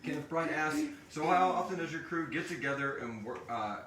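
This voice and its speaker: A man's voice: a little high, lively intonation, a little fast, far from the mic. A man hosting a casual live question-and-answer chat in an informal, joking way. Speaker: podcast writer and director, panel host. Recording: echoey room, off-mic, noisy background.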